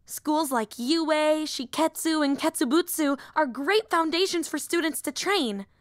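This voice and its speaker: A woman voicing an excitable, nerdy young female superhero in an audio roleplay, earnest and rambling, often apologizing and interrupting herself. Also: high voice, a little slow, no echo, studio quality. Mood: earnest, enthusiastic. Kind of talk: roleplay audio drama acting.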